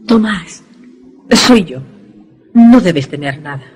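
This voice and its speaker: A woman's voice: on the low side, expressive, takes it slowly, a little mellow, breathy edge, soft background music, heavily acted. A woman playing the ghostly projection of a dead lover in a radio drama, earnest and solemn, loving and urgent. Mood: reassuring.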